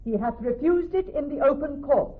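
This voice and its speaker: A woman playing a clever young woman posing as a male judge in classical radio drama, speaking in a measured, formal, authoritative way. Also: high-pitched, expressive. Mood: stern, commanding. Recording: thin, narrow-band old radio broadcast.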